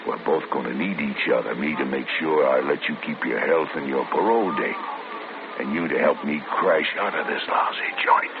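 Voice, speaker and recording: A menacing old convict. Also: male voice, moderate pace. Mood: threatening. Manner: low, bullying, coaxing then threatening. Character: menacing older male convict, scheming and threatening. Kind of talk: 1940s radio drama acting. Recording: tinny old radio broadcast with hiss.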